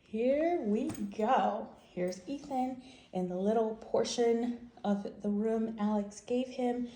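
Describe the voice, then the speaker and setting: A woman's voice: a little high, slow, warm tone. A woman hosting a bedtime read-aloud, talking to small children in simple, emphatic phrases and guiding questions. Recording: roomy, echoing home recording.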